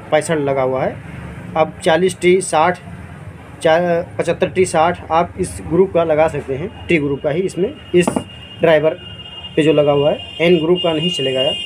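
A man's voice: a little high, measured pace, long pauses, background noise. A man explaining a welding machine repair step by step, speaking casually at his workbench. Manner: plain step-by-step explaining while working. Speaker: electronics repair technician. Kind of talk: casual repair tutorial narration. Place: repair workshop bench.

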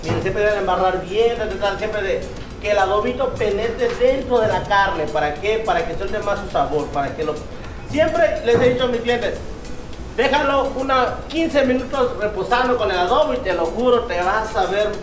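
Casting: man, thirties, Guerrero coast Mexican accent, high voice, restaurant cook showing his craft casually, recorded at a distance over music. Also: moderate pace. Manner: repetitive, punctuated with "eh?" tags and rhetorical questions. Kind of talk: casual cooking demonstration and promotion. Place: open-air beach restaurant and its kitchen. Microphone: camera a few steps away. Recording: roomy, distant camera mic, music underneath.

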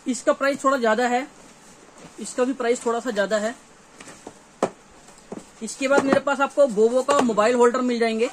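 A man's voice: very high-pitched, steady tone, a little fast, pauses often. A man in his thirties with a North Indian accent, a dealer giving an informal, repetitive sales showcase to camera in a small shop. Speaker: shop dealer presenting products. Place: small cluttered gear shop, indoors. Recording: faint shop background noise.

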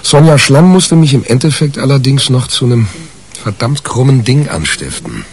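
A man's voice: medium pitch, animated, normal speed, noisy background, natural voice. An actor playing a wry, exasperated man in a 1980s radio crime drama, telling his tale dryly and hesitantly. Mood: wry recounting.

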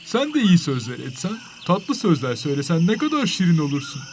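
A man's voice: fairly high pitch, huge pitch swings, a little fast, slight background noise. A man voicing a polite, sensitive, reproachful pupil in a children's cartoon, pleading and moralising. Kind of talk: children's educational cartoon acting.

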